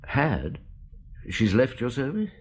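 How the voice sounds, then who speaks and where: An actor playing a middle-aged, shrewd police inspector who also narrates the radio drama, asking calm, measured questions. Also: male voice, mid-range voice. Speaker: narrator and detective.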